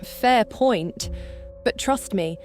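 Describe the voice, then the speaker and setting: A man's voice: high voice, lively intonation, drawn-out words, faint music behind, pitched above the performer's own voice, full character voice. A man voicing a young blonde woman companion who speaks earnestly and reassuringly.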